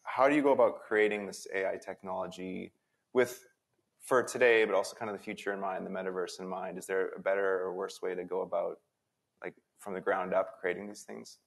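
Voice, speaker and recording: A man moderating a conference panel, casual and quick with his questions. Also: sits low, fairly even intonation, gravelly. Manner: casual, asks questions in a rush of lists.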